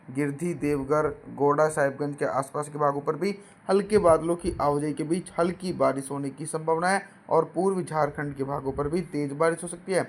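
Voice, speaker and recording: A hoarse man presenting a regional weather forecast, steadily listing place names and addressing viewers as friends. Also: a little high.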